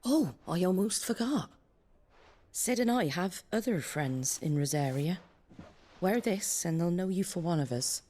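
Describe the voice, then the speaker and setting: An actress playing an earthy, compassionate innkeeper rebel in a video game, with a regional English accent and plain, folksy speech. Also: female voice, on the low side, animated, measured pace, warm tone, a little hiss or hum. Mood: warm, encouraging. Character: Martha, earthy outspoken innkeeper woman, secretly compassionate rebel. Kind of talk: dramatic video game acting. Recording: music behind the voice.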